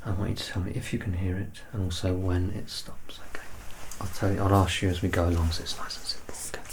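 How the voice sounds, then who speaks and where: Soft-spoken, low-pitched man doing medical ASMR. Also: flat delivery, rough recording. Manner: gentle, reassuring, unhurried instructions. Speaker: ASMR performer playing a medical examiner.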